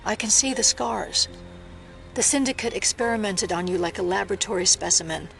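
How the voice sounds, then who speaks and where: A woman acts a serious female federal agent in an audio drama. Her voice has a marked creak, and she sounds controlled, skeptical and probing, with prominent music underneath. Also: medium pitch, brisk, close to an everyday voice. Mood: suspicious, probing.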